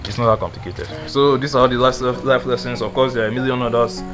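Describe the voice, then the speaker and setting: A man's voice: medium pitch, fairly even intonation, fast talker, music in the background. A twenty-year-old man giving casual life advice in a rambling, slangy way, full of "like" and "you know". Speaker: vlogger.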